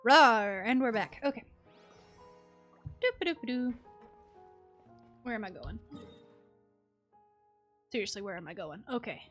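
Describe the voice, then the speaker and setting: A woman's voice: a little high, expressive, a little slow, long pauses, fairly bright tone. A woman live-streaming a video game, giving casual, informal and playful commentary. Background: game music.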